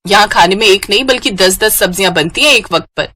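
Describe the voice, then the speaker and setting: A woman's voice: medium pitch, fast, pushed and bright. A woman voicing a bossy, demanding young in-law who smugly lays down rules in a cartoon story. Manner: pointed, instructing. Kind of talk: Hindi moral-story cartoon voice acting.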